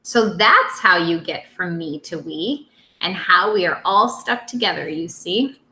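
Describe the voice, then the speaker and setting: Animated, bright-voiced woman reading to children. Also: lowish voice, a little slow, reverberant, a little hiss or hum, low-quality recording. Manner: expressive, simple teacherly delivery for young children. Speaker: teacher reading aloud to first graders. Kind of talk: animated children's picture-book read-aloud.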